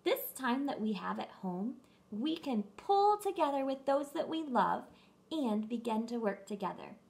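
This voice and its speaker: High, animated woman reading to children. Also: unhurried. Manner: clear emphatic phrasing addressed to children. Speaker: storybook reader for children. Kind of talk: expressive read-aloud for young children. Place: room at home.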